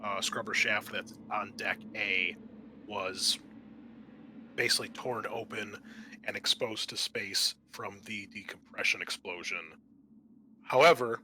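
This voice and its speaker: A man hosting a tabletop roleplaying game as game master, narrating off the cuff and pausing to think aloud. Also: fairly low pitch, fairly even intonation, bright, forceful tone, slight background noise.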